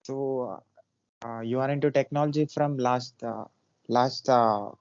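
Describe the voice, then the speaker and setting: A man interviewing a guest for a podcast, speaking politely and haltingly, often repeating his words. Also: medium pitch, steady tone, darkish tone, clear, resonant tone, dry recording, lo-fi sound. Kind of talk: interview questions.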